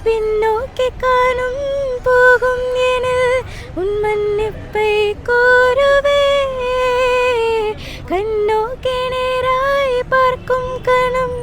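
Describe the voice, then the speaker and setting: A woman's voice: very high-pitched, little pitch movement, very slow, music in the background. A woman singing as an amateur singer.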